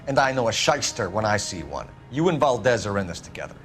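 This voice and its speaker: An actor playing a brisk, authoritative 1940s American police detective in a crime game. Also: male voice, lowish voice, sing-song, fairly bright tone, soft background music. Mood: pressing, stern. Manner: clipped, official questioning. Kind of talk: dramatic game acting, period crime story.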